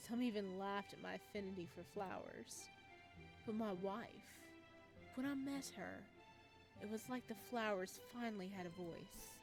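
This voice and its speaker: A woman voicing a soft-spoken, devoted male florist in a visual novel, in a soft, measured and tender manner, with game music behind. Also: mid-range voice, close to an everyday voice. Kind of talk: reading a visual novel aloud.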